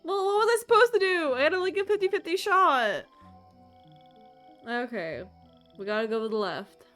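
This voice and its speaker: A woman livestreaming a video game, chatting casually in short, soft-hearted reactions. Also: extremely high pitch, sing-song, moderate pace, faint music behind. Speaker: livestream gamer. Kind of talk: casual game-stream chat.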